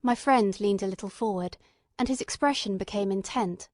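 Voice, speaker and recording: A woman narrates a piece of classic literary fiction from a script. Her voice sits at a medium pitch for a woman. The recording is dry, with no room sound. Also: normal speed, darkish tone, no room sound.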